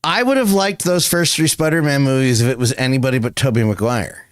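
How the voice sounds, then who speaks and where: A man's voice: mid-range voice, fairly quick, clear, resonant tone, no room sound, clean studio sound. Laid-back, punny male podcast co-host. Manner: laid-back, laughs at his own jokes, punny. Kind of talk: casual chat.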